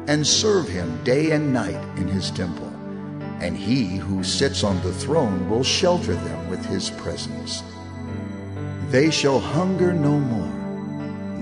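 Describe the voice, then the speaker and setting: A man playing a solemn, gentle heavenly elder in a scripture audio drama, speaking in a measured way. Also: fairly low pitch. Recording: prominent music under the voice.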